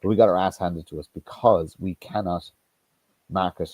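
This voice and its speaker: Man, very low and husky, dry close sound, stop-start blunt talker. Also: steady tone, a little mellow, dry recording. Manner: halting, many restarts and ums, blunt with swearing. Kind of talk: casual political discussion.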